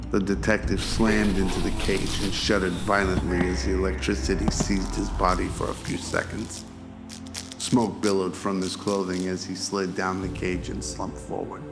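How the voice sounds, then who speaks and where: A man narrating scripted action for an amateur radio drama in a measured storytelling manner. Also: sits low. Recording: loud music behind the voice.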